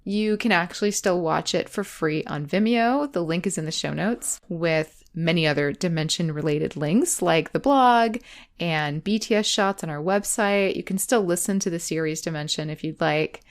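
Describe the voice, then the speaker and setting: A woman podcast host speaking in a reflective scripted monologue, with measured phrasing and deliberate pauses. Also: on the low side. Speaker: podcast host, audio drama creator.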